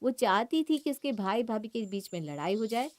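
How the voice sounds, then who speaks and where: A woman's voice: a little high, lively intonation, moderate pace, darkish tone. A woman reading scripted narration for a moral story.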